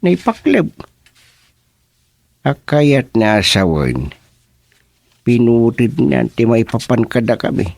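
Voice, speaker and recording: An actor playing a flustered, brooding middle-aged husband in an Ilocano radio comedy drama, with an Ilocano accent and a hesitant, muttering delivery. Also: male voice, mid-range voice, sing-song, slow pace, creaky, pauses often, a little mellow, no echo, exaggerated voice. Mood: distressed.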